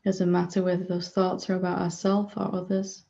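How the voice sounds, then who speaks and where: A woman guides a meditation in a soft-edged, mellow voice with a noticeable creak. Her delivery is very even and gentle, broken into short phrases with generous pauses. The recording sounds slightly dull. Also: fairly low pitch. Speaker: spiritual teacher leading a guided meditation.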